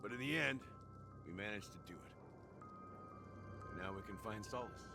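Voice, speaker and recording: An actor playing a world-weary rogue in a video game monologue, wry and rueful, musing in an unhurried, bittersweet way. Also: male voice, on the low side, over music, natural voice.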